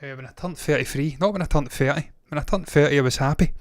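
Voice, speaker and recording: A man's voice: fairly high pitch, quick pace, dry recording, studio quality. A man in his thirties hosting a movie news show, talking casually, with rambling opinionated asides. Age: 30s.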